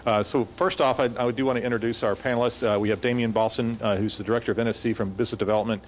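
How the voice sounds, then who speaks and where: A man moderating a conference panel, rushing through with many fillers and restarts. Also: medium pitch, fairly even intonation, slight background noise. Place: conference hall.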